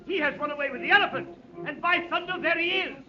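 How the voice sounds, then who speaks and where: An exasperated, blustering man on the chase, with an extremely high, excited voice. He speaks emphatically in 1930s drama style. Music plays loudly behind on a muffled old recording. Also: quick pace. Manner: shouting, indignant, emphatic. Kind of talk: 1930s radio drama acting.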